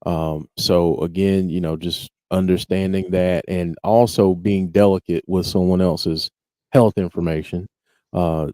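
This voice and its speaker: Man, very deep voice, mellow tone, dry close sound, easygoing podcast host. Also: steady tone, a little slow, dry recording. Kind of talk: casual chat.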